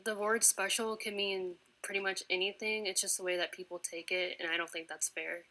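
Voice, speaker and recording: A woman in her twenties speaking in an even, measured way as an interviewee. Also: medium pitch, rough recording. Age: 20s. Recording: faint music behind the voice.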